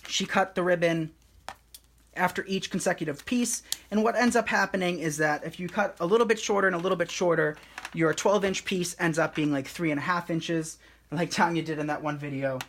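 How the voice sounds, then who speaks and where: A man hosting a live craft tutorial, talking viewers through each step in a soothing, relaxed and unhurried way. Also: on the high side, a little hiss or hum.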